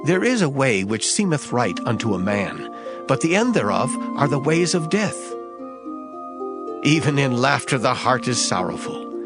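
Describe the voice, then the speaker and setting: Male reader for dramatized scripture, solemn and declamatory, very animated intonation, set over music. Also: medium pitch. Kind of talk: dramatized Bible reading.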